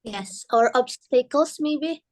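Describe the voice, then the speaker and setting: A woman's voice: sits high, slow, dry recording, clean studio sound. A woman interviewer asking questions in a tentative manner.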